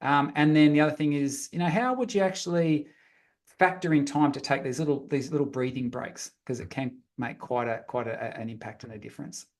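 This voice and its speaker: Male facilitator, slightly high pitch, informal and friendly, addresses the group directly, thinks aloud while instructing. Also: fairly quick. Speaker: meet-up facilitator. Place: online video meeting.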